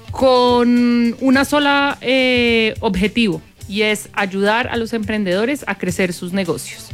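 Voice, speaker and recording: Bogotá-accented woman explaining calmly. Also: fairly high pitch. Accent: Colombian, Bogotá. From Colombia. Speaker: guest, corporate sustainability manager. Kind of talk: explanatory interview answers.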